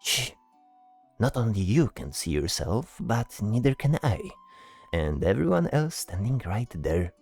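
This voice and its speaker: A man voicing a polite, hesitant bard in a fantasy audio drama, speaking in a halting, showy, courteous way. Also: lowish voice, big pitch swings, darkish tone, faint music behind, full character voice. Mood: pleased, courteous. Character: chatty, polite, hesitant male bard spellcaster.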